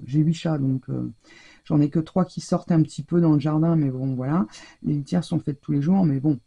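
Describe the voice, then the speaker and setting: A woman from France shows off her latest craft finds in an easygoing, rambling chat full of fillers. Her voice has a gravelly, husky edge with a mellow, darker tone. She talks at a moderate, everyday pitch. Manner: chatty, rambling, many fillers. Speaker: craft vlogger. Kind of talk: casual haul video chat.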